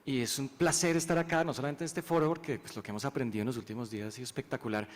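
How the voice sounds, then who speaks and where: A man's voice: mid-range voice, steady tone, racing. A man from Colombia introducing himself on a panel, enthusiastic and often restarting his sentences. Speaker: panelist, co-director. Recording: reverberant hall with faint ambience.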